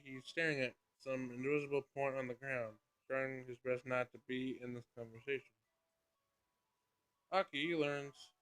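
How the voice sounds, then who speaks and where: A man's voice: medium pitch, a bit bright, rough recording. A man reading a story aloud unrehearsed, narrating and stumbling now and then as he re-reads phrases. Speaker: player reading a visual novel aloud. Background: music.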